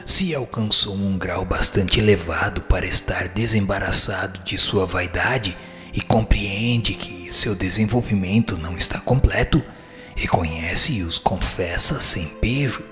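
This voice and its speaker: A man playing a solemn, wise teaching spirit in a radio drama, answering in a formal, measured, didactic way. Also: low voice, bright, forceful tone, faint music behind. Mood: solemn, instructive. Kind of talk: scripted radio drama reading of questions and answers.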